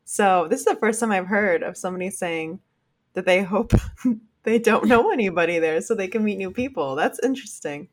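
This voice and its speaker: A woman's voice: medium pitch, animated, moderate pace. A woman co-hosting a podcast in casual chat, reacting with easy laughter and asking her partner questions. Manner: reactive and giggly, asks questions. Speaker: podcast co-host.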